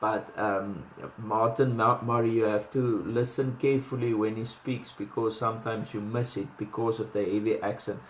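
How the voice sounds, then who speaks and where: A man's voice: on the low side, fairly even intonation, normal speed, few pauses, reverberant, a little hiss or hum, rough recording. A man with a South African accent giving casual boxing commentary, chatty, with many ums and restarts and self-deprecating asides.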